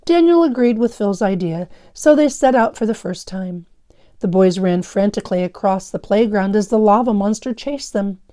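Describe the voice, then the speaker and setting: Woman teacher explaining stuff and reading a kids story, soft dark tone, medium pitch. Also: expressive, normal speed. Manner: instructive, explanatory. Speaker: fifth-grade teacher. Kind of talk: lesson explanation and story read-aloud.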